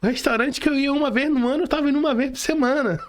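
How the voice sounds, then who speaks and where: A man's voice: very high-pitched, fast. A man with a Brazilian accent hosting a casual interview, speaking in slang and repeating words for emphasis. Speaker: podcast host.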